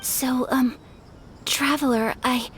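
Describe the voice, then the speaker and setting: High-voiced refined young noblewoman. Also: steady tone, slow, a little hiss or hum. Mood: nervous, hesitant. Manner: polite, formal, soft-spoken. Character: refined, gentle young noblewoman, dutiful and shy. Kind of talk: anime-style video game voice acting.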